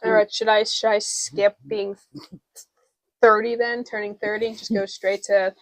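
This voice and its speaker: A woman's voice: mid-range voice, little pitch movement, measured pace, dry recording, poor audio. A woman in her late twenties bantering playfully with her partner on a home vlog. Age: late 20s. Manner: teasing, playful. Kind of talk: casual banter.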